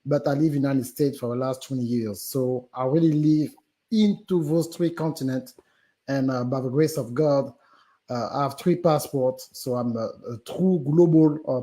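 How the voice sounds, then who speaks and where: A man's voice: mid-range voice, moderate pace, mellow. A man with a French accent, a business guest on a live stream interview, talking animatedly with many fillers and restarts. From Gabon.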